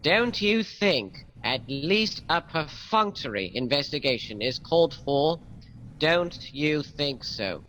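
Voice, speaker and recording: An actor playing a deferential, methodical police sergeant in a radio mystery, careful and deliberate. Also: male voice, on the high side, sing-song, a bit bright, a little hiss or hum, heavily acted, rough recording. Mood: dutiful. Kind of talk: radio mystery drama acting.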